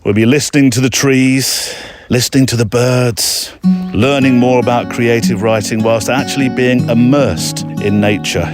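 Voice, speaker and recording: A man's voice: medium pitch, fairly even intonation, moderate pace, music in the background. A man hosting a podcast, speaking casually in a chatty, meandering way with hesitant asides. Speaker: podcast host. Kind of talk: casual spoken show outro.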